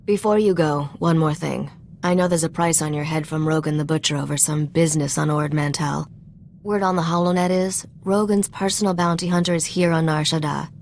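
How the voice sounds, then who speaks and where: A woman's voice: low voice, fairly even intonation, fairly quick, darkish tone, a little hiss or hum. A woman playing a cool, confident smuggler's partner in a video game cutscene. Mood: cool, assured. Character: confident worldly young female smuggler's partner, cool and sly.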